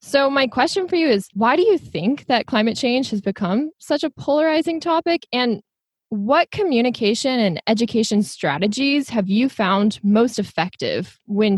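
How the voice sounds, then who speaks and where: A woman's voice: fairly high pitch, lively intonation, normal speed, breathy edge, no room sound. Upbeat young woman host, dry close sound. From Houston, Texas. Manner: upbeat, laughs often. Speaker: podcast host. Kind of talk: scripted-sounding interview questions. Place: closet at home. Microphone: video call.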